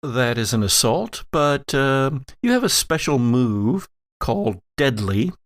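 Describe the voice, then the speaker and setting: A man's voice: mid-range voice, sing-song, slow. A man acting as game master, narrating a tabletop role-playing game dramatically with lingering, descriptive phrasing.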